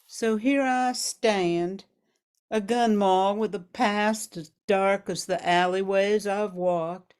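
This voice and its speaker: A woman's voice: medium pitch, steady tone, takes it slowly, a little mellow, smooth, natural voice. A woman playing a sultry, tough 1940s gun moll in a hard-boiled radio noir monologue. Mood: cold, threatening. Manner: sultry, hard-boiled underworld slang, calling listener sweetheart.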